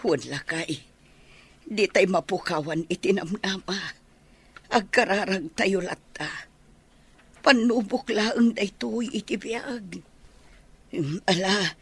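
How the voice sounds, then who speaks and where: A woman's voice: fairly low pitch, slightly rough. A woman playing a concerned, practical older grandmother in a Filipino-accented radio drama. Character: caring, practical older grandmother, mother of the household. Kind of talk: Philippine radio drama acting.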